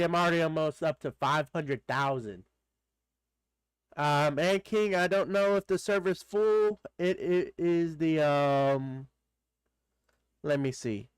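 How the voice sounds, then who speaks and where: Streamer, male, congested nose, smooth clean voice, bone-dry close mic, rambling friendly chat. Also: fairly high pitch, no room sound. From Texas. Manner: rambling, greets chatters by name. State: stuffed-up nose. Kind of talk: casual live-stream chat.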